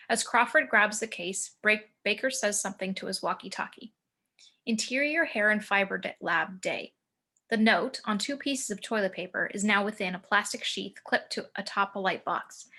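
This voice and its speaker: A woman's voice: medium pitch, sharp tone. A woman reading out scene headings and action description as the narrator of a screenplay table read. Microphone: home video call.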